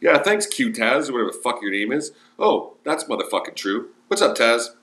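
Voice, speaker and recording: A man's voice: mid-range voice, sing-song, moderate pace, a bit bright. A man hosting a livestream, grumbling and ranting crudely with constant cursing. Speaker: livestream host. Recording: roomy echo with faint music.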